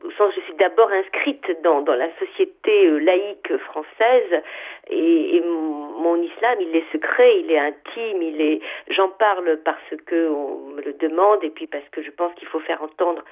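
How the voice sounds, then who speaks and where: A woman's voice: sits low, measured pace. A woman in her seventies from Algeria, a writer answering interview questions. She speaks in a thoughtful, hesitant way, with many fillers, and lists her ideas one after another. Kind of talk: radio interview, reflective answers. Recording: phone-line sound, narrow band.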